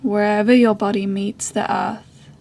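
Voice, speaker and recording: Meditation guide, female, soft and breathy, very even pitch, unhurried with long pauses. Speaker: yoga and meditation teacher.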